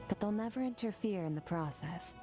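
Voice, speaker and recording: An actress playing an aloof, stoic young swordswoman in a video game, speaking in a cool, measured, detached way. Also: medium pitch, animated, natural voice. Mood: calm, reflective. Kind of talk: scripted video game character monologue. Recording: game audio with music underneath.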